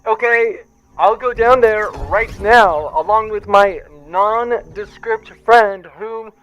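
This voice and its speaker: Man playing a high-pitched, hapless spaceship accountant. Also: slow pace, fairly bright tone, lo-fi sound. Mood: nervous, stilted. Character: hapless, sarcastic male accountant on a privateer spaceship. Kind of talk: comic sci-fi audio drama acting.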